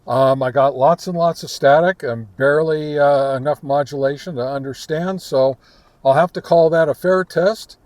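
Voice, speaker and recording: A man giving a casual, informal product review and field test, talking in a halting, conversational way. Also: on the high side, a little mellow. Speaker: ham radio reviewer and vlog host.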